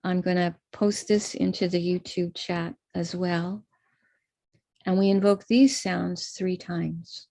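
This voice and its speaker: An American woman with a gravelly edge of vocal fry talks patiently through a chart, one box at a time. Her voice is recorded dry and close on a computer mic for a live stream, with no room sound around it. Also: fairly low pitch, steady tone, takes it slowly, dark tone, no echo. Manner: patient, explanatory, walks through a chart step by step. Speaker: astrologer leading a ritual. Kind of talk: live-streamed ritual instruction.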